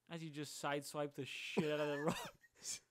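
A man's voice: fairly high pitch, fairly even intonation, slow pace, fairly bright tone. A man co-hosting a video game playthrough with casual, crude, sweary banter. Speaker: gaming video co-host. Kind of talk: casual joking let's play banter.

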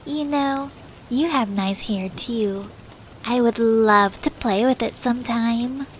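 A woman's voice: a little high, takes it slowly, a little hiss or hum, poor audio. A woman voicing a sweet, adoring lady cartoon beaver, gushing and affectionate in a fan fiction reading. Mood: flirty.

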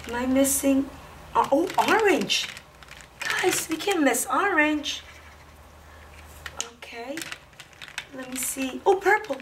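A woman in her thirties, a preschool teacher reading aloud and talking to young children in a simple, expressive way with questions for them. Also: high voice. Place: indoor classroom-like room. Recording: roomy echo, distant mic, faint noise.

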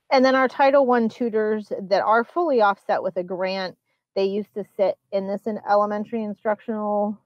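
A woman presenting a school budget at a public hearing, explaining line items and figures in a measured, explanatory way. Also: on the low side, no room sound, lo-fi sound. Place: public meeting.

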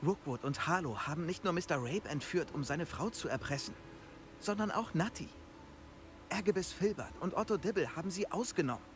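Young male student wizard acted by a man, earnest, determined, fairly high voice, clear game ambience noise behind. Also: expressive, fairly quick, close to an everyday voice. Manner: polite, determined. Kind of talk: video game voice acting.

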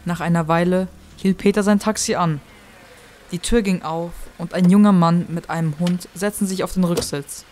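A woman's voice: lowish voice, steady tone, normal speed, a little mellow, a little hiss or hum. A woman reading scripted narration as the narrator of an audio play.

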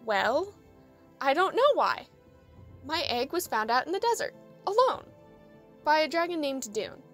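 A woman playing a small, unsure young female dragon in an audio drama, earnest and halting. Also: high-pitched, big pitch swings, a bit bright, soft background music, full character voice. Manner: hesitant, halting delivery.

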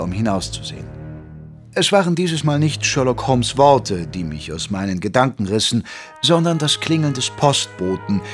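An actor playing a gentleman physician who narrates a detective radio play in a measured, literary, wry manner. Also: medium pitch, close to an everyday voice. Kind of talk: scripted audio drama narration and dialogue.

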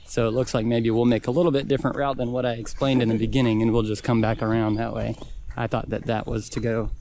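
A man's voice: medium pitch, quick pace, a little hiss or hum. Man rambling through a campground walk. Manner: relaxed, rambling, many fillers. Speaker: camping vlogger. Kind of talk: casual walking tour commentary. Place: outdoors, campground.

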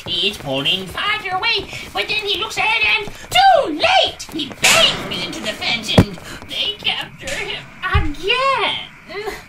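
A woman narrating a lively story recap in an animated, playful manner. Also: extremely high pitch, takes it slowly, few pauses, very noisy. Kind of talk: storytelling recap of an audio drama. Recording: loud background music.

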